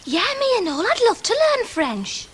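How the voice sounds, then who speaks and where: An actress playing an eager, chattering younger sister. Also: female voice, very high-pitched, huge pitch swings, normal speed, a bit bright, a little hiss or hum, exaggerated voice.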